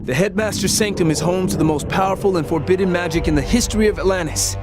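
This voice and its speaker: An eager young hero, voiced by a man in a video game. His voice is high for a man and brisk. Very loud music plays behind his briefing. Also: lightly acted. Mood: keen, brisk. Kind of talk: video game scripted exposition.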